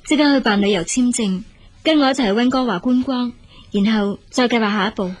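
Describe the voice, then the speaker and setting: A woman's voice: a little high, fairly quick, close to an everyday voice. A woman playing a capable, caring elder sister in a radio drama, speaking Hong Kong Cantonese in a firm, reassuring way.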